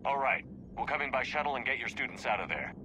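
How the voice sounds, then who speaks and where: Game role: calm, commanding male officer, actor, mid-range voice, brisk orders over clear noise. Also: medium pitch, natural voice. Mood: resolute. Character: decisive male military commander, calm under fire. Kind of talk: video game dialogue.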